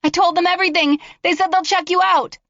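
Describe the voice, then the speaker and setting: A frightened teenage girl stands her ground, voiced by a woman reading a horror story. Her voice is high, shrill and strained with urgency. The recording is dull and lo-fi, with a dry sound. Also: fairly even intonation, a little fast, fairly bright tone, no echo. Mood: defiant.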